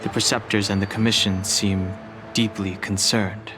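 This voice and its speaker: Man playing a reserved, low-voiced young exile. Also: level tone, moderate pace, loud music behind the voice, lightly acted. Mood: guarded, serious. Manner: reserved, serious, formal. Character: reserved, earnest young male dragon-folk exile. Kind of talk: English game voice acting, restrained dialogue.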